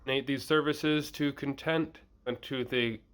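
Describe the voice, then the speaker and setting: A man's voice: medium pitch, fairly even intonation, measured pace, fairly bright tone. A man reading a written piece aloud, sometimes stumbling over words and slipping into casual asides. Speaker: host reading a blog post aloud.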